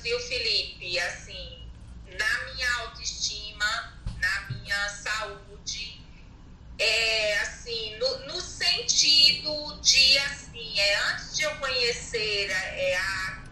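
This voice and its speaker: A woman from Alagoas in Brazil, possibly, speaking slowly and haltingly with many pauses as a guest in a casual interview. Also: on the high side, bright, forceful tone, slight background noise. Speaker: network marketing participant, guest. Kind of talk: hesitant conversational testimony. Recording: echoey distant mic with faint ambience.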